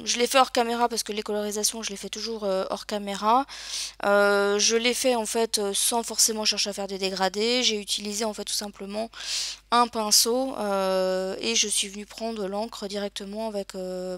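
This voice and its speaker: A woman's voice: mid-range voice, little pitch movement, normal speed, fairly bright tone, dry recording. A woman narrating a crafting tutorial over her video, speaking hesitantly with many filler sounds. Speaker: crafting channel host.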